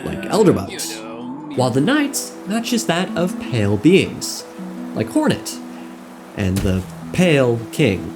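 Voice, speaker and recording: A man's voice: medium pitch, huge pitch swings, slow pace. A young man narrating a scripted comedic video essay on game lore in a playful, mock-serious manner. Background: background music.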